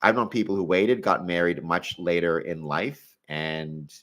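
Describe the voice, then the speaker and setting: A man's voice: low-pitched, measured pace, a bit bright. A man in his forties hosting a live stream, chatting casually as he answers questions and thinking aloud with hedging asides. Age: 40s.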